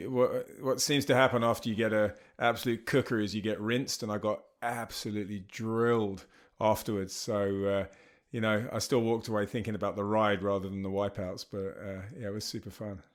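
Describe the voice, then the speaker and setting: A middle-aged man with an English accent talks easily as a podcast guest, dropping surf slang between his "uh"s and "you know"s. His voice sits a little low. He tells stories at an unhurried, chatty pace. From England. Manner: relaxed storytelling with surf slang and many fillers. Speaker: charity founder, guest. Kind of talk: casual interview chat.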